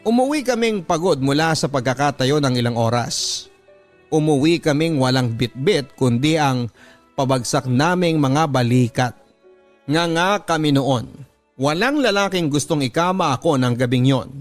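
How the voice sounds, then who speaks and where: A man with a Filipino accent reading a dramatic first-person story as a radio drama narrator, measured and earnest. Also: a little high, expressive. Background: background music.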